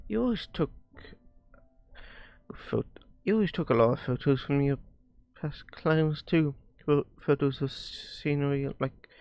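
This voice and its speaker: A man reading visual novel dialogue aloud on a live stream, haltingly, often stumbling over words and repeating phrases. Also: fairly high pitch, gravelly, darkish tone, no room sound.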